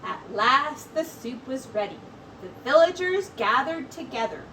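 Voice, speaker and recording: High, animated woman reading a story. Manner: deliberate, expressive storytelling with drawn-out words. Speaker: teacher. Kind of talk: storybook read-aloud for children. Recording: distant mic with clear background noise.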